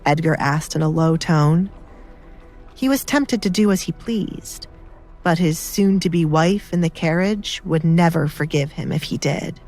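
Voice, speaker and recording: A woman with a fairly low voice narrates a scripted audiobook. Quiet music plays underneath her storytelling. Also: measured pace.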